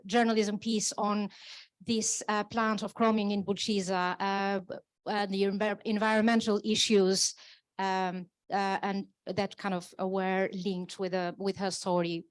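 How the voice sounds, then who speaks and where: A woman's voice: medium pitch, level tone, unhurried, smooth, dry recording. A woman presenting journalism awards, speaking earnestly with frequent hesitations. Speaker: jury member presenting awards. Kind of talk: awards announcement.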